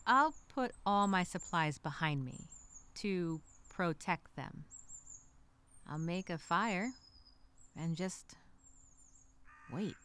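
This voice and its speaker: Woman acting expressive castaway, slow and bright. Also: fairly low pitch, clear, resonant tone. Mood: nervous, cautious. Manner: conversational, theatrical, halting, with sighs. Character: stranded woman castaway keeping a survival journal, anxious, wry. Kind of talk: solo dramatic monologue, audio journal storytelling.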